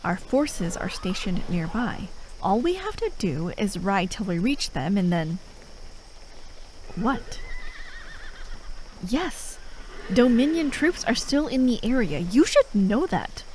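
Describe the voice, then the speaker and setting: A woman playing an exasperated, sharp-tongued but reluctantly caring enemy operative in a fantasy audio roleplay, sardonic, speaking in short phrases with pauses. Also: mid-range voice, very animated, a little hiss or hum, poor audio. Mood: incredulous. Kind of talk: fantasy audio roleplay acting, addressed to a listener.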